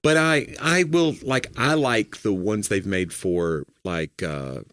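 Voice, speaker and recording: A man who does comedy, co-hosting a podcast in a casual, joking, rambling way, a little hoarse from a cold. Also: on the low side, slow, fairly bright tone, no room sound, studio quality. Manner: joking, rambling, filler-heavy.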